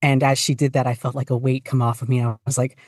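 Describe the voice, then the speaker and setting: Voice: mid-range voice, level tone, fast talker, darkish tone, no echo. Young adult podcast guest with dry humour. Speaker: podcast guest, fan fiction writer and podfic reader. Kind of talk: casual interview chat.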